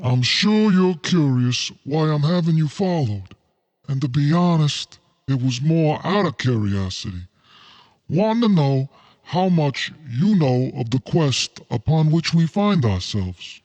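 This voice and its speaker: A man's voice: fairly high pitch, very animated, slow pace, a little mellow. A man acting a suave, scheming noir crime boss. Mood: smug, confiding. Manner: genteel, formal, faintly menacing. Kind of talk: noir radio-play acting.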